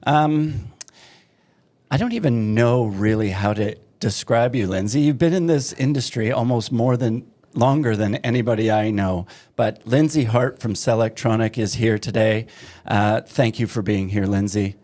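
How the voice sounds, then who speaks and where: A man's voice: lowish voice, moderate pace, a little mellow. A man hosting a podcast, asking upbeat questions and cracking jokes with his guest. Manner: friendly, joking, upbeat questions. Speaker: podcast host. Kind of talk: casual podcast interview.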